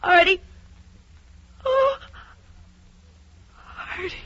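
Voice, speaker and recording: Radio drama dying lover, American female: extremely high, breathy, agonizingly slow and halting, muffled vintage sound. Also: a bit bright, exaggerated voice. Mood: agonized, fading. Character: dying mistress, weak, pained and clinging. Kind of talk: 1950s radio drama acting.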